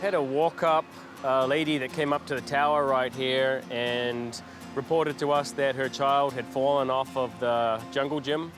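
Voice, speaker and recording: A man from New Zealand, a lifeguard sergeant, calmly explaining his work in a documentary interview. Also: medium pitch, normal speed, music in the background.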